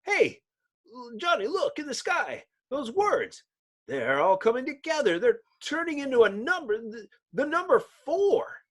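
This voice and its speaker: A panicky young man from a comic book, put on by a male reader, pitched high and swooping with amazement. He stammers and exclaims in awe. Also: very animated, a little slow, fairly bright tone, exaggerated voice. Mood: panicked amazement.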